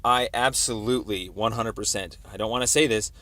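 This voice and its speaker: Male caller, lowish pitch, wordy and halting, laying out a complaint over the phone. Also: steady tone, sharp tone. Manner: halting, wordy, roundabout explanations. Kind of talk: phone conversation, explaining a complaint. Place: telephone call. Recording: recorded phone call.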